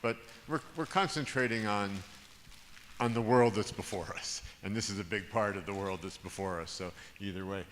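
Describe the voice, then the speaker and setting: A man's voice: on the low side, moderate pace, fairly bright tone. Man moderating in an echoing hall. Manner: hesitant, restarts often, chuckles. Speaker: panel moderator. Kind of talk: organizing a group discussion. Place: large conference room. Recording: roomy hall reverb, background hiss.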